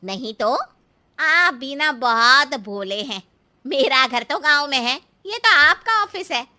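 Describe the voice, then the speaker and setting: An elderly village mother-in-law for a cartoon story, very sharp and high. She is cheeky and stubborn, drawing out her folksy scolding with big swoops in pitch. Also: expressive, takes it slowly, full character voice. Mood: naive, cheerful. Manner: literal-minded, scolding, folksy. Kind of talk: exaggerated comic cartoon story acting.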